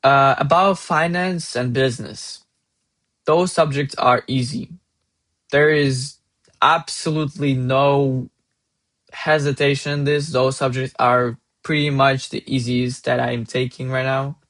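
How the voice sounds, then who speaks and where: Young russian-accented guy, talking earnestly about school, kinda halting, middle pitch. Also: steady tone, fairly bright tone. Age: young adult. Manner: earnest, hesitant, restarts mid-phrase. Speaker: IB student. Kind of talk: casual advice monologue.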